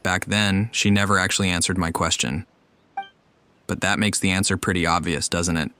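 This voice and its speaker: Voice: low voice, fairly even intonation, normal speed, fairly bright tone, slight background noise. A gamer commenting on a video game playthrough, reading along in role and reacting off the cuff. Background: game music.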